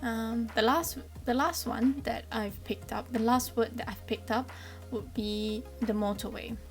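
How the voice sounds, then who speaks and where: A woman's voice: a little high, unhurried, faint music behind. A woman in her twenties from Malaysia with a Malaysian accent, explaining things in a chatty, friendly way with frequent ums. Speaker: vlogger. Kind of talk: casual explainer vlog.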